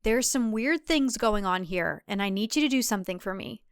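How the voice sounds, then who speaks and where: Woman voicing defiant heroine, controlled, firm, cold, decisive, clear voice, audio drama. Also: expressive, fairly quick, no echo, studio quality, natural voice. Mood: steady persuasion. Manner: low, controlled, firm delivery.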